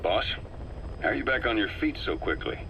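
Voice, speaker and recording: An actor playing a cool, calculating and loyal intelligence operative, deliberate and matter-of-fact, in a dramatic game cutscene. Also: male voice, fairly low pitch, lively intonation. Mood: urgent briefing. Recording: faint background noise.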